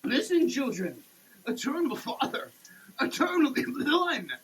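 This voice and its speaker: A man giving a dramatic, preachy reading with emphatic pauses, like a sermon. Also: extremely high pitch, sing-song, fairly bright tone, rough recording. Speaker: sketch performer. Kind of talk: dramatic reading of a soap label, declaimed like a sermon. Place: shower, per the page.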